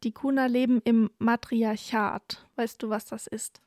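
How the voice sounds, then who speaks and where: A woman's voice: a little high, little pitch movement, unhurried, a little mellow, dry recording, studio quality. Chatty young woman co-hosting a podcast. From Peru. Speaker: podcast co-host. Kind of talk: casual chat with prepared fact segments.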